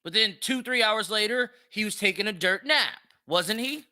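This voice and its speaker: A man's voice: sits high, normal speed, pushed and bright. An American man giving blunt, profane, slangy solo commentary as a podcast host, repeating himself and asking rhetorical questions for emphasis. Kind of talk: opinionated solo commentary on celebrity news.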